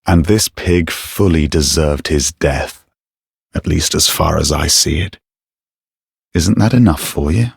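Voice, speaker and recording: A sly, menacing knight turned assassin from a dark fantasy game. He speaks very low and unhurriedly, with creaky gravel under a silky, haughty formality. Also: no echo, exaggerated voice. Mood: cold, threatening. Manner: silky, deliberate, theatrically formal. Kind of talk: video game character dialogue.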